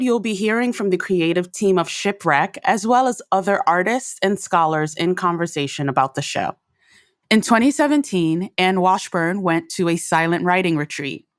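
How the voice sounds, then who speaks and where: A woman's voice: on the low side, dry recording, studio quality. A woman hosting and guiding a podcast episode with scripted narration. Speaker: host and guide of a podcast.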